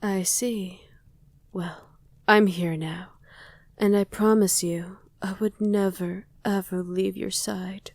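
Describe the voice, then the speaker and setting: Breathy, slow-speaking vampire wife played by a woman. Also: mid-range voice, fairly even intonation, no room sound. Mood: tender, reassuring. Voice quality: breathy, often whispering. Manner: slow, tender, coaxing murmur. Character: loving, possessive vampire wife, tender and wistful. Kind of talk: intimate ASMR roleplay. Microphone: close, dry microphone.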